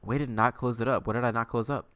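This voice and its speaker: A man's voice: mid-range voice, steady tone, fast, fairly bright tone, clean tone, no echo, clean studio sound. A man hosting a livestream, switching between dramatic story reading and casual, playful chat. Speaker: livestream host.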